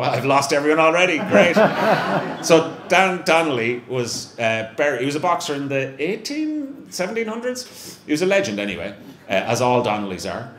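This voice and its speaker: A man's voice: mid-range voice, fairly bright tone. A middle-aged Irish man chatting on stage at a live event, self-mocking and full of restarts. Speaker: brewery representative, guest. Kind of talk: casual onstage chat. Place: small live venue room with stage. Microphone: handheld mic on stand. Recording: echoey room, faint hum.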